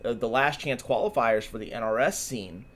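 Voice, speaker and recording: A man's voice: medium pitch, normal speed, a bit bright. A man co-hosting a podcast, explaining things in an earnest, emphatic way. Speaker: podcast host. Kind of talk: casual podcast conversation.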